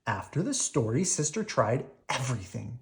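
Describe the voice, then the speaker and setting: A woman reading a children's storybook aloud in an animated, unhurried way for young listeners. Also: a bass voice. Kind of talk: expressive read-aloud storytelling.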